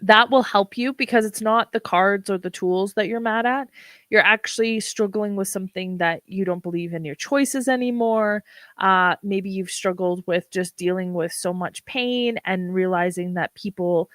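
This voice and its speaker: A woman hosting a livestream, talking casually with a froggy, hoarse voice and many ums. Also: mid-range voice, a bit bright, dry recording, clean studio sound. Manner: unhurried, with frequent ums. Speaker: livestream host.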